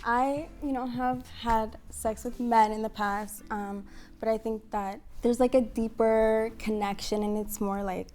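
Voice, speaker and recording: A twenty-year-old woman talking casually about herself in halting, tentative phrases. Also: fairly high pitch, fairly even intonation, darkish tone. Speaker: dating show contestant. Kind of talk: casual personal talk.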